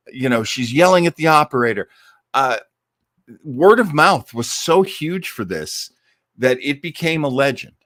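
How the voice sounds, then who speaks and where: A man's voice: fairly high pitch, fairly bright tone, dry recording, clean studio sound. A man speaking as a host in casual, enthusiastic and digressive commentary to his live audience, with lightly dramatic emphasis. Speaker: host of an old-time radio listening party.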